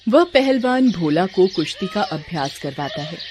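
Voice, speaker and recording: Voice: medium pitch, very animated, normal speed, darkish tone. A narrator reading a scripted moral story. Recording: clear background noise.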